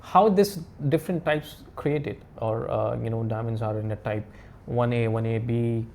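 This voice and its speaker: A man speaking as an expert guest in a casual interview, explaining in halting, repetitive, non-native English phrasing. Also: medium pitch, creaky, a little mellow, a little hiss or hum.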